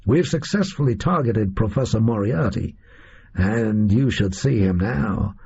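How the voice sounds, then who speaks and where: A male reader voicing a disillusioned, boastful gunman in an audio story, with a high, reedy voice and folksy, slangy delivery. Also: animated, unhurried, warm tone, husky, muffled recording, full character voice. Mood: brisk, boastful.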